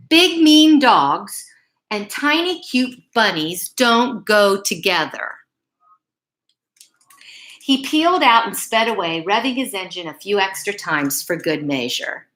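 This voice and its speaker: A woman reads a children's picture book aloud in a bright, fairly high voice. Her delivery is unhurried and very expressive, leaning on key words and speaking directly to young listeners. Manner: deliberate, emphatic phrasing, addresses young listeners. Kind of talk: expressive read-aloud bedtime story with asides.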